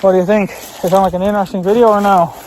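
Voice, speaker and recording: A man's voice: high-pitched, level tone, racing, darkish tone, rough recording. An American man rambling casually outdoors in a folksy way, thinking aloud as he films a vlog. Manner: folksy, musing aloud, mild swearing. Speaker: vlogger. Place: outdoors in rural woods. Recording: faint outdoor background noise. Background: faint outdoor noise.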